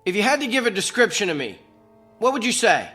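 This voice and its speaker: An American actor playing a troubled, brusque office executive, terse and probing, with sardonic irony in a naturalistic drama. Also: male voice, high-pitched, sing-song, fast talker, sharp tone, slightly rough, faint music behind, exaggerated voice. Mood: insistent.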